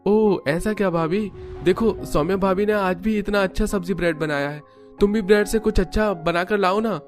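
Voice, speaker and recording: An expressive young husband, put on by a male narrator in a cartoon story. His voice is pitched well up and complaining, over faint music. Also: moderate pace. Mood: teasing. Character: young husband, complaining and eager for good food. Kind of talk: Hindi cartoon story dubbing.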